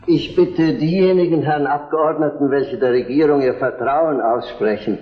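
An actor playing a parliament president, announcing formally in a vintage historical drama. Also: male voice, on the high side, moderate pace, lightly acted. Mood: official. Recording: dull, echoey old soundtrack.

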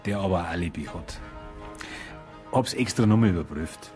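A resigned Bavarian detective from a radio crime play, deep in voice. He is blunt, down-to-earth and colloquial. Faint music sits behind the speech. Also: lively intonation, moderate pace. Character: matter-of-fact, resigned male police detective. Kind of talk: naturalistic radio crime drama.

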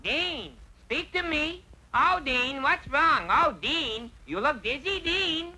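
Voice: sits high, expressive, takes it slowly, music in the background, low-quality recording. An actor voicing an earnest, aggrieved young prince in a vintage cartoon. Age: young adult.

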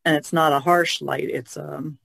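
Woman with creaky voice, casual chat. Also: low-pitched, fairly even intonation, moderate pace, a bit bright, no room sound. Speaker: podcast panelist.

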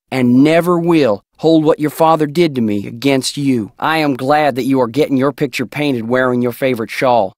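An actor playing an earnest, tender Old West outlaw in a video game, reading his letters aloud in a folksy, deliberate way. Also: medium pitch, a little mellow, no echo, studio quality, lightly acted. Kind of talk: acted reading of love letters.

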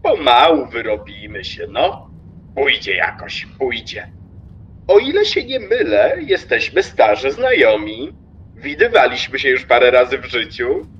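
An actor playing a smug, impertinent railway official in a radio play, talkative and mockingly sweet, with an unctuous drawl. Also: male voice, mid-range voice, big pitch swings, measured pace, fairly bright tone. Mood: smug, teasing. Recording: slightly dull with noticeable background noise.

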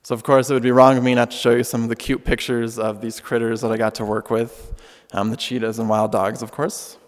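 A young man giving a keynote talk with slides at a podium in a large auditorium, relaxed and earnest with frequent ums. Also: mid-range voice, brisk. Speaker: keynote speaker. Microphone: podium microphone. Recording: long hall reverb.

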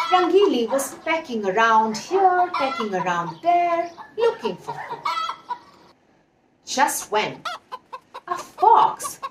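A woman's voice: fairly high pitch, noisy background. A woman in her thirties with an Indian accent, telling a children's story expressively with dramatic emphasis. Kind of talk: animated storytelling for young children. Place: indoor room with patterned wall. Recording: echoey room, distant mic.

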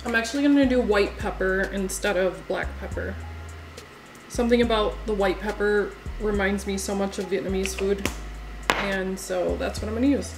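A woman vlogger talking through her cooking in a home kitchen, relaxed and thinking aloud with fillers. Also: medium pitch, normal speed, poor audio. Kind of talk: casual cooking narration. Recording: music under the voice. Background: background music.

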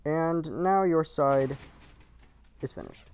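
A man's voice: fairly high pitch, slow pace, darkish tone, clean tone. A man narrating a game build tutorial in short, clipped, matter-of-fact instructions, recorded as a voice-over.

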